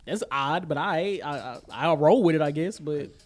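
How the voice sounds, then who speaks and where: Higher-voiced man, slangy podcast co-host. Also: lively intonation, normal speed. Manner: slangy, agreeable, repeats himself for emphasis. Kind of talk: casual chat about superhero films and shows.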